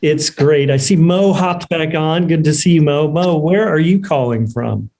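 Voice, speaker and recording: A man's voice: fairly high pitch, unhurried, vocal fry, a little mellow. A man hosting an online event, chatting casually with guests and handing over to others. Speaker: event host.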